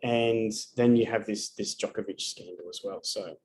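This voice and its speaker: A man's voice: lowish voice, normal speed, a little mellow. A man giving a live astrology reading over a video call, talking casually and bluntly and swearing often.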